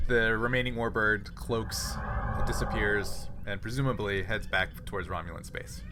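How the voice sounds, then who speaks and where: A man's voice: on the low side, moderate pace, noisy background. A man acting as game master, narrating a sci-fi tabletop game and making rulings in an informal, conversational way.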